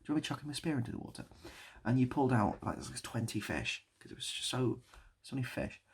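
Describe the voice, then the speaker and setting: A British man improvising a rambling story and chatting as a video host, mock-nostalgic and often repeating his phrases, with a husky, gravelly voice. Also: mid-range voice, sing-song, quick pace. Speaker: online video creator and host.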